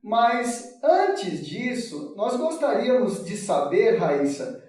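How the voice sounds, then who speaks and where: A man with a Brazilian accent hosting an interview in a formal, deliberate way, recorded with strong echo and at a distance. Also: high voice, sing-song, a little mellow. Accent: Brazilian Portuguese. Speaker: host. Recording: very echoey, distant from the mic.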